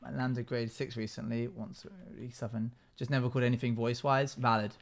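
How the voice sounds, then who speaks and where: A man's voice: medium pitch, little pitch movement, a little fast. A man in his twenties giving casual, chatty commentary on a game stream, reading out chat messages and replying. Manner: chatty, reads chat messages aloud and answers. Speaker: live streamer. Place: small home room, indoors.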